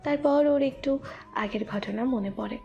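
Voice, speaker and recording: A woman's voice: sits high, a little slow, gravelly, highs cut off. A woman narrating a scripted romantic audio story in the third person.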